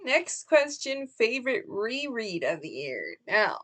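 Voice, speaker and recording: A young woman talks through her reading picks in a relaxed vlog, her voice sitting fairly high. She rambles warmly, trips over her words and corrects herself often. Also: takes it slowly. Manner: chatty and rambling, with frequent fillers and self-corrections. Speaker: book vlogger. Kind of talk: casual book tag vlog.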